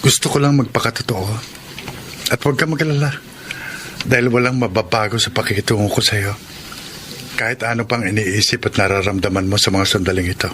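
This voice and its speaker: A man's voice: lowish voice, brisk, fairly bright tone, slight background noise, close to an everyday voice. A man acting an earnest, devoted employer courting his housemaid in a radio drama. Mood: sincere, tender.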